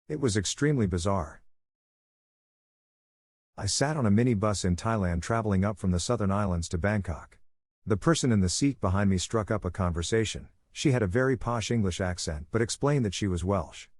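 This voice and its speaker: A man with a somewhat low voice reads a run of short posts aloud in scripted narration. His delivery is steady and even, and the sound is dry and close. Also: no room sound. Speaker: narrator reading online posts.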